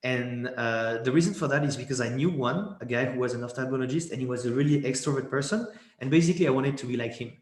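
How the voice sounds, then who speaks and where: A man's voice: mid-range voice, quick pace, few pauses. A young man, a guest in a casual interview, talking in a rambling way with many restarts and fillers. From Switzerland. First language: French. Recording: echoey room.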